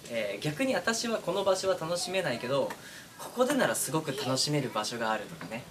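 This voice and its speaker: A man hosting his own channel, talking casually to his followers with drawn-out hesitations and asides that seek agreement. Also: medium pitch, sing-song, noisy background. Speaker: online voice-drama creator and channel host. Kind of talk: casual monologue to camera, addressing followers.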